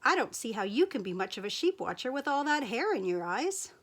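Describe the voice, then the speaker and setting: A steady, patient sheepdog who guards the flock, read by a woman. He speaks calmly and plainly, with a lilting storybook rise and fall. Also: fairly high pitch, brisk, voice pushed up.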